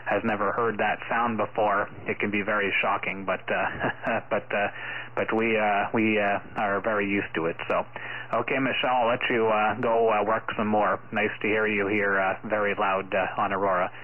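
A man's voice: fairly low pitch, level tone, moderate pace. Man on ham radio, thin band-limited audio. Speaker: amateur radio operator. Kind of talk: casual ham radio contact. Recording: muffled phone-like radio audio.

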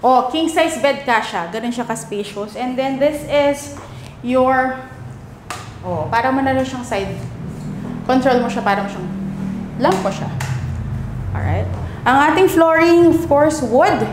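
A woman's voice: high voice, lively intonation, measured pace, pauses often. A young woman with a Filipino accent, chattily and enthusiastically presenting a house tour, switching between two languages. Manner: chatty, enthusiastic, mixes Tagalog and English. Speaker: property tour host. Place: empty rooms of a house. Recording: echoey empty rooms, slight background noise.

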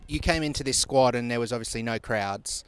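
A man interviewing a player pitchside after a match, asking brisk, direct questions. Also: clear, resonant tone. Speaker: club interviewer. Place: outdoor stadium pitchside at night. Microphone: handheld mic.

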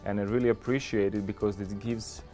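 A man in his forties with a Serbian accent speaks carefully as an MBA student. His voice sits slightly low, and guitar music is clearly heard behind him. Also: fairly even intonation, a little fast, dark tone.

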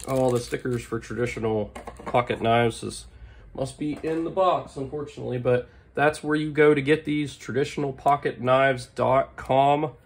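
Hoarse man, knife-channel host, casual and enthusiastic, throat clearing, desk recording. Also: mid-range voice, fairly even intonation, normal speed. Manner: enthusiastic, clears throat often, filler-heavy. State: hoarse, recovering lost voice. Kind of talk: casual product show-and-tell. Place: indoors at a desk. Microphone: overhead camera on table, hands only.

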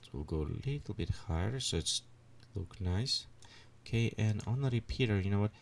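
A man with leading a software tutorial at his computer, thinking aloud as he adjusts settings. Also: fairly low pitch, lively intonation, slight background noise. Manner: unhurried, thinks aloud while clicking through settings. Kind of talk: screen-recorded software tutorial.

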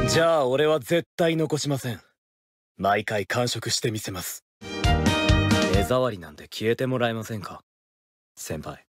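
A man voicing a blunt, cool, proud teenage athlete, curt and deadpan, in anime game clips. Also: lowish voice. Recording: loud game music underneath.

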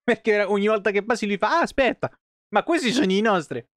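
A man's voice: high-pitched, lively intonation, a little fast, a bit bright, no room sound. A man, giving casual, sweary, grumbling live game commentary into a close mic. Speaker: game streamer. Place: small indoor room.

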